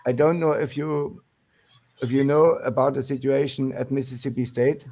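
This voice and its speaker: An older German man calling in to a sports show, speaking English with a German accent in halting, restarting phrases. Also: mid-range voice, little pitch movement, warm tone. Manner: halting, many restarts and fillers. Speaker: caller. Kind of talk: casual call-in chat.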